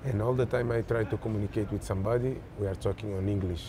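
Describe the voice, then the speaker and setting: A young man from Verde, speaking haltingly as an interview guest on an outdoor café terrace. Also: lowish voice, fairly even intonation, noisy background. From Cape Verde. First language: Cape Verdean Creole. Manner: halting, searching for words. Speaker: footballer guest. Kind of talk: casual interview in non-native English. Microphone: lapel mic.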